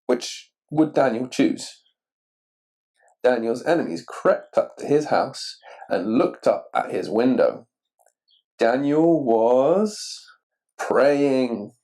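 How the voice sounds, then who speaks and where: A man reading a children's picture book aloud expressively and deliberately, putting questions to the listener. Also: medium pitch, warm tone, low-quality recording. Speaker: story reader.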